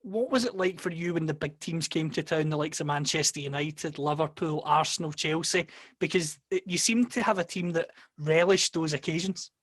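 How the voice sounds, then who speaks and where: A Scottish man hosts an interview, leading into each question with a long setup. His voice sits a little high. The sound is dry, with no room echo. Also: normal speed, fairly bright tone. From Scotland. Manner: long, carefully set-up questions. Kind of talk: podcast interview.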